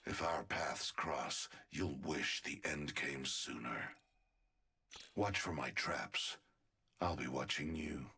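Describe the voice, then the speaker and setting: Sadistic game villain, rock-bottom pitch, very husky rasp, cold, condescending, deliberate. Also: male voice, bright, forceful tone, heavily acted. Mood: menacing, superior. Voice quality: very deep, raspy. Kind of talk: video game character voice lines.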